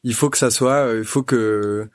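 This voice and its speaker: A man's voice: mid-range voice, fairly even intonation, moderate pace, no room sound. A man, a guest musician, chatting casually on a podcast in long winding sentences, circling back and restarting as he thinks aloud.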